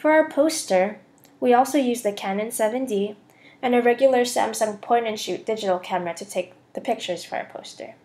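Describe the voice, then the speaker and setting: A woman's voice: a little high, fairly quick, darkish tone. A young woman with an American accent narrating a scripted class presentation as a student voice-over. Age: young adult.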